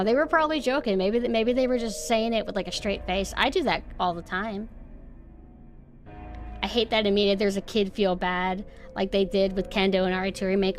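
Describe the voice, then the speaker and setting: A young woman with a nasal voice chatting casually on a stream. She rambles, swears freely and is sarcastic. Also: medium pitch.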